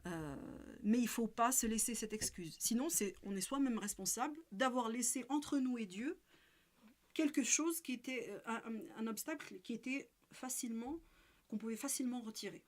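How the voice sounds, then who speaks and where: A woman's voice: a little high, moderate pace. Hesitant woman giving a spiritual talk. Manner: hesitant, many fillers and restarts. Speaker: religious speaker. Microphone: live stream.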